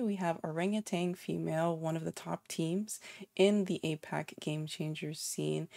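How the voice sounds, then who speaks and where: A woman from North America hosting an online interview, asking friendly, measured questions with a few fillers. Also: lowish voice, gravelly, dark tone, dry recording.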